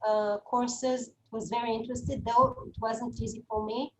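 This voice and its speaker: A woman's voice: fairly high pitch, level tone, moderate pace, low-quality recording. A woman with a Hebrew accent, not a native English speaker, giving an online webinar lecture, hesitant, with many fillers and restarts.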